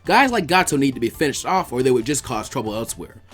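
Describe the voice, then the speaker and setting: Male narrator for fiction reading, animated and brisk, over background music. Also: mid-range voice. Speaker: fan fiction reader, narrator. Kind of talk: scripted story narration, audiobook-like.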